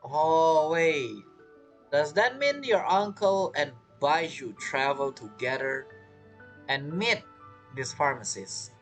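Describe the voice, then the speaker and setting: Low-voiced woman streaming a game. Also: lively intonation, faint music behind. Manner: halting, thinking aloud. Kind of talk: casual gameplay commentary.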